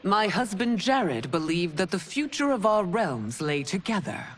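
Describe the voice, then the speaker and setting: Regal female ruler for a game cinematic: stately, firm, gracious, with lively intonation over faint ambience. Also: lowish voice, unhurried, a little hiss or hum. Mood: solemn, authoritative. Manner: formal, stately, authoritative. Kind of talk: dramatic video game acting.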